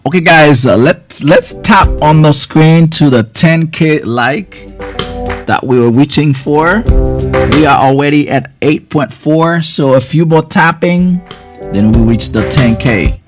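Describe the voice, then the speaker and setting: A man's voice: fairly high pitch, measured pace. A man from Haiti, a doctor hosting a live health stream and repeatedly thanking viewers in loose, rambling phrasing. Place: his clinic. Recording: background music playing.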